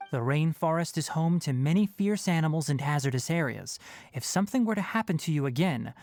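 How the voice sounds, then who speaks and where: Low-voiced young male forest ranger. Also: a little mellow. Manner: measured, articulate, instructive. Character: composed young male forest ranger master, frank and exacting. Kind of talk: video game voice acting.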